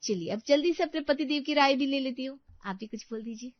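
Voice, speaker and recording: Woman as a chirpy, high-voiced young sister-in-law. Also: a little fast, no room sound, highs cut off, close to an everyday voice. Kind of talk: animated moral-story dialogue.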